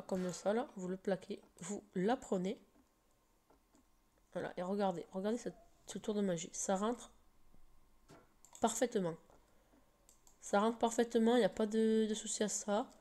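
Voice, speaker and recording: A woman's voice: medium pitch, mellow. Hesitant woman with a slight cold. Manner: hesitant, full of fillers and restarts. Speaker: game tutorial maker. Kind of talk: casual screen-recorded game tutorial.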